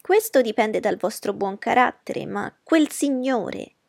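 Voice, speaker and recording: A woman voicing a fussy, boastful middle-aged mother who speaks in a high, effusive way, with a gravelly, husky voice. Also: lively intonation, a little slow, dry recording, clean studio sound, heavily acted. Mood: gushing. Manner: shrill and effusive.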